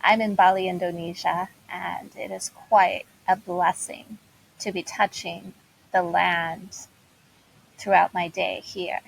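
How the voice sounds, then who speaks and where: A woman leading a guided meditation in a slow, soothing, inviting way. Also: on the low side, little pitch movement, creaky, a little hiss or hum, poor audio. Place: Bali, Indonesia.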